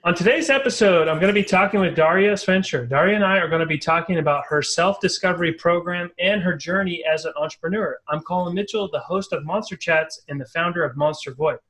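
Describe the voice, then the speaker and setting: A man's voice: fairly high pitch, brisk, hardly any pauses, fairly bright tone. A man hosting a business podcast, reading an upbeat scripted intro and then asking his guest questions. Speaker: podcast host.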